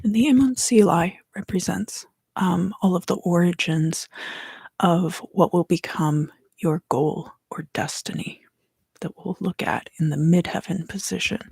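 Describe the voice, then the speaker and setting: A woman speaks very slowly in a soft ASMR style, often slipping into a whisper. Her voice is breathy and fairly low, with a heavy creak at the ends. She reads tarot gently, pausing between short phrases. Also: no echo. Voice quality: breathy, hushed. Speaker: tarot reader hosting an ASMR video. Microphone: close microphone.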